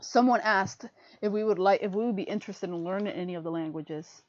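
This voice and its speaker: A woman's voice: medium pitch, a little fast, dry recording. Woman, chatty podcast co-host, rambling, laughs a lot, dry muffled mic. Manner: chatty, rambling, laughs often, says "freaking". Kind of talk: casual livestream chat. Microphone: desk mic on a livestream. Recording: muffled lo-fi stream audio.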